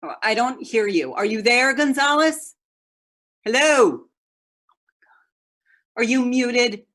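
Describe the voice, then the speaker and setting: A woman playing a frantic, entitled wealthy mother in a play performed as a video call, imperious, agitated and halting. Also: fairly high pitch, lively intonation, a bit bright, exaggerated voice. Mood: impatient. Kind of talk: one-act play monologue on a video call.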